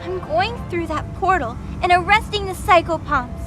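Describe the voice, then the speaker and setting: A fierce young female officer, acted by a woman in an audio drama. Her voice is piercingly high, urgent and commanding, full of righteous anger. Music runs clearly behind it. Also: animated, moderate pace. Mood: defiant, urgent. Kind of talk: dramatic audio story dialogue. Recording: music under the voice.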